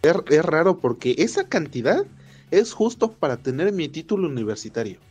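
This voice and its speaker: A man's voice: fairly high pitch, very animated, moderate pace, a little mellow, husky. A man with a Mexican Spanish accent talking casually in a group chat. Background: music.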